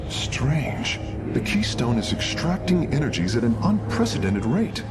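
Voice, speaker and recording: A man's voice: medium pitch, big pitch swings, a little slow. A man voicing a formal, analytical alien engineer in a video game, speaking with precision. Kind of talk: video game cutscene acting. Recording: loud music behind.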